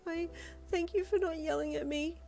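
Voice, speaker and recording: Storybook role: timid, easily startled young person, acted by a woman, raised pitch, soft halting delivery, apologetic. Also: fairly even intonation, lightly acted. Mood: tearful. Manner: hesitant, stammering, softly spoken.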